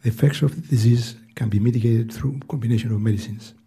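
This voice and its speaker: An eighty-year-old man with a Greek accent, earnestly reading prepared testimony at a hearing in a soft, weak voice, halting and restarting now and then. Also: medium pitch, gravelly, slightly rough. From Greece. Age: 80s. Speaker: hearing witness. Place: hearing room.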